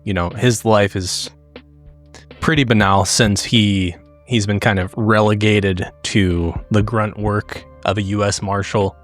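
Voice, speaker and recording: A man playing a tabletop game, casually describing his character and haltingly thinking aloud. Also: fairly low pitch, steady tone, faint music behind. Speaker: player in a role-playing game.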